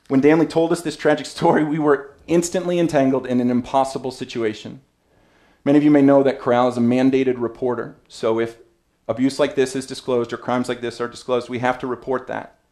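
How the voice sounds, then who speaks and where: Man, 30s, keynote speaker at a podium, earnest and measured, reads from notes. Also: medium pitch, a little mellow. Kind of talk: prepared speech read from notes. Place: banquet room with an audience.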